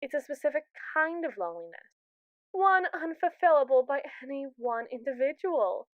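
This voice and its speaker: A woman's voice: high-pitched, lively intonation, normal speed, dry recording, narrow, tinny audio. A woman playing a haughty, impatient evil robot who scorns humans in an ASMR audio roleplay, condescending, sarcastic and theatrical. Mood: coolly analytical.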